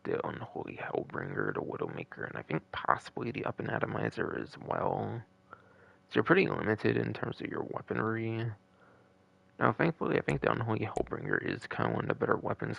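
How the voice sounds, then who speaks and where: Gravelly, raspy man rambling on stream. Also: on the low side, fairly bright tone. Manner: rambling, repetitive, casually profane. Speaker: streamer hosting a gaming live stream. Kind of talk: casual off-the-cuff stream commentary. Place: a room at home.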